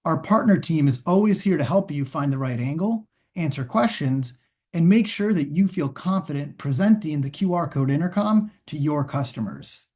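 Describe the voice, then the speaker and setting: Male, higher register, corporate sales trainer, scripted and instructional, measured delivery. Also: animated, a little mellow. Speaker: partner team trainer presenting sales training.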